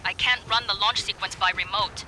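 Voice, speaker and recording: A woman playing a tough, foul-mouthed military dropship commander in a video game, brisk and clipped in her orders. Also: steady tone, sharp tone. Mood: brisk, commanding. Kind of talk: video game voice acting, tactical radio commands. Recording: noisy game mix with machinery rumble.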